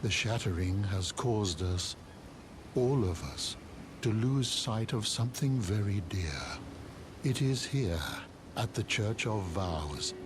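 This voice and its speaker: A man playing an aged, wise turtle cleric in a fantasy game, with a courteous, measured, gently formal manner. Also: fairly low pitch, expressive, noisy background. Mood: solemn, wistful. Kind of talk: theatrical fantasy game acting. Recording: clear music under the voice.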